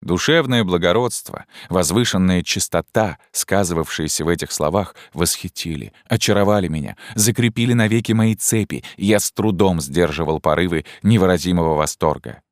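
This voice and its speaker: A man reading a literary story aloud as an audiobook narrator, with expressive, measured delivery and drawn-out emphasis. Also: low-pitched, no room sound, clean studio sound.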